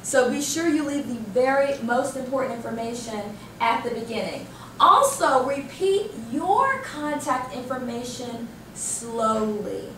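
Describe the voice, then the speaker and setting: High female voice, American accent, corporate trainer, emphatic, question-and-answer delivery, roomy distant recording. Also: measured pace. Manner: animated, emphatic, asks and answers own questions. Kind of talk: interactive workshop presentation to a group. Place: indoor training room. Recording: roomy, distant microphone with background noise.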